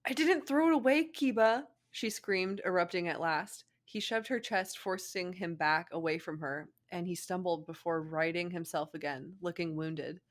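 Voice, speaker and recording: Woman reading a fanfic, slightly low voice, chatty little asides between narration. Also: steady tone, normal speed, few pauses, a bit bright. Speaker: fan fiction reader. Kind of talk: expressive story narration with casual asides.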